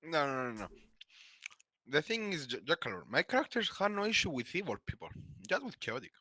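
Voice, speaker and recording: A man chatting casually and somewhat haltingly as a player in a tabletop role-playing game. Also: on the high side, very animated, sharp tone, no room sound. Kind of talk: casual chat about game characters.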